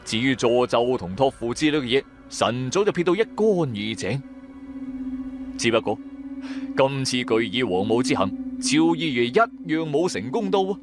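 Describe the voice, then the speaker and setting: A male audio drama narrator with a Cantonese accent. He tells the scripted tale theatrically, his pitch moving widely from phrase to phrase over faint background music. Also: normal speed. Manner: theatrical, expressive.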